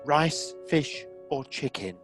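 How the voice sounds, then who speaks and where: A man's voice: a little high, animated, takes it slowly, fairly bright tone, faint music behind, heavily acted. A man playing a rude, impatient, dramatic wealthy diner in a comic radio play, bossy and sardonic. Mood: mocking.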